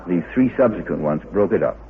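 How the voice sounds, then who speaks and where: A man answering interview questions as an expert, in an emphatic, deliberate manner. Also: sits low, expressive, a little hiss or hum. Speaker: interviewed researcher with a doctorate. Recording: muffled lo-fi tape, busy crowd behind.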